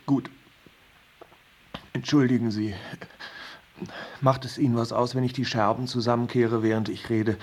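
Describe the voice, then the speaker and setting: Ein Schauspieler spielt in einem deutschen Hörspiel einen überreizten, ängstlichen, verträumten Familienvater, der fahrig und weitschweifig redet. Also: male voice, medium pitch, flat delivery, normal speed, long pauses, natural voice. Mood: nervous, confiding. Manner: agitated, rambling, apologetic. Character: overwrought, anxious family father and businessman, dreamy, claustrophobic. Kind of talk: German radio drama acting.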